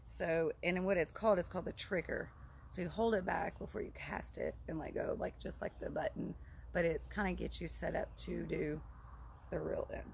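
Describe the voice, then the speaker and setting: Casual female vlogger, lowish voice with strong creak, loose and repetitive delivery, recorded outside. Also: steady tone, normal speed, darkish tone. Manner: rambling, informal, repeats herself. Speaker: vlogger reviewing fishing gear. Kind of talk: casual product review to camera. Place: outdoors in a leafy yard by a log building. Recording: clear outdoor background noise.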